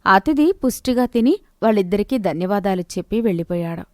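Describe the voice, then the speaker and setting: A female narrator tells a scripted moral tale. Her voice sits at a medium pitch, and the recording is dry, with hardly any room sound. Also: lively intonation, fairly quick, no room sound.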